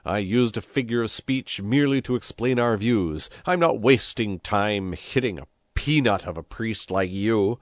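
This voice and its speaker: Man acting a hot-headed, blustering village mayor, very animated. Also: low-pitched, normal speed, no room sound, studio quality, heavily acted. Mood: exasperated. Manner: loud, exasperated, oratorical.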